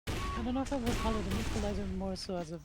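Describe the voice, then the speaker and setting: A woman whose first language is Dutch, doing relaxed, jokey esports commentary with trailing fillers. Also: medium pitch, very fast, background noise. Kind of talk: casual commentary chat.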